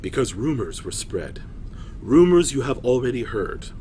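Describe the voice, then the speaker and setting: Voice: medium pitch, sing-song, moderate pace, noisy background, natural voice. A man playing a proud, solemn female clay golem in a fantasy story, with grave, formal speech.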